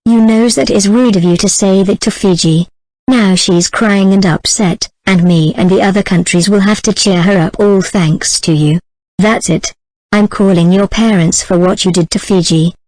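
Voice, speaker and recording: A woman's voice: medium pitch, steady tone, normal speed, a little mellow, no room sound, natural voice, rough recording. A synthetic female voice playing a stern adult woman who scolds.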